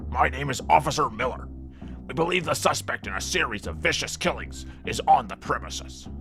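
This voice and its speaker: A man's voice: mid-range voice, lively intonation, moderate pace, vocal fry, sharp tone. A man playing a stern, formal police officer with a commanding official manner in a comic audio drama. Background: music.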